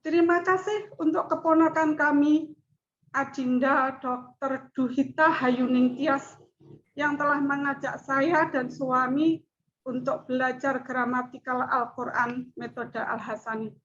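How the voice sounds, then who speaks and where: A middle-aged woman speaks to her class in a high, unhurried voice, each phrase measured and deliberate. She presents formally as a student. The audio is dull and lo-fi. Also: steady tone, a bit bright. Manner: slow, measured speech with chanted recitation.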